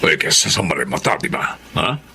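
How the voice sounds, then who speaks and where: An actor playing a grasping, middle-aged older brother who wheedles and exclaims in Filipino-accented radio drama style. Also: male voice, low-pitched, sing-song, fairly quick, gravelly, bright, forceful tone, a little hiss or hum, exaggerated voice. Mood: wheedling. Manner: exclamatory, pleading.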